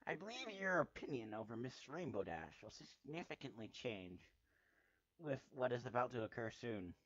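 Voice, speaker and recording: A man voicing a mysterious, commanding male presence that speaks calmly and cryptically inside a story character's head. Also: medium pitch, normal speed, no echo, highs cut off.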